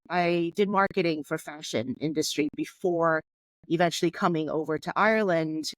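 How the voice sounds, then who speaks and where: A woman's voice: deep voice, no room sound. A woman in her forties, originally from Hong Kong, speaking as a podcast guest and telling her life story in a candid, reflective way. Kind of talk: conversational podcast interview.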